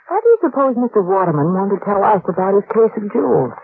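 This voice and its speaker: A sleuth's curious, caring female companion in a vintage radio drama. Her pitch moves in lively swings. The audio is tinny with slight noise. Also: quick pace, creaky. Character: inquisitive, concerned young female companion to a sleuth. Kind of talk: old-time radio drama acting. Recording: tinny, noisy old radio recording.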